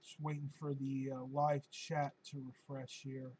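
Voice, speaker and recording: A man with a hoarse, fading voice hosts a casual live-stream Q&A, answering viewer questions in a chatty, rambling way with plenty of ums and uhs. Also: mid-range voice, completely flat delivery, measured pace, darkish tone, slight background noise. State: hoarse, losing his voice.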